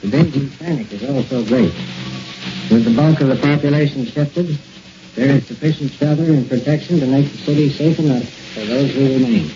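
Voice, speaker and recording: A man reading a formal, measured scripted news dispatch as a foreign correspondent on 1940s radio. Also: medium pitch, music in the background. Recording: muffled, hissy 1940s shortwave radio relay.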